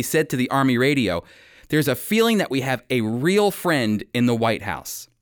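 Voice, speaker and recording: An American man hosting and teaching the Bible on radio in a prepared, deliberate and emphatic storytelling delivery, close on a studio microphone. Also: a little high, dry recording.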